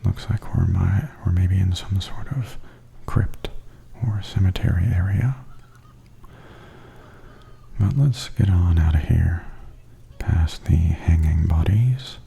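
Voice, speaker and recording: A man giving softly spoken, gently wry ASMR commentary as a tour guide, in a hushed, breathy half-whisper. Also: sits low, slow pace, pauses often. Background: theme park haunted attraction ambience.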